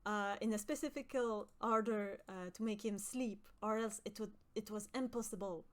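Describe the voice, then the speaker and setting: A young woman from with a high voice, chatting with her stream viewers and often pausing with fillers. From Quebec. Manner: hesitant, many fillers and restarts. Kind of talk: casual chat with stream viewers.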